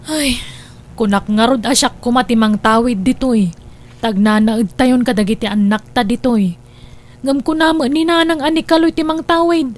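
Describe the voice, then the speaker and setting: A woman voicing a grasping married daughter in an Ilocano audio drama, who turns shrill when upset. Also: steady tone, moderate pace, close to an everyday voice. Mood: demanding. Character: scheming married daughter wanting the house. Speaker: voice actor.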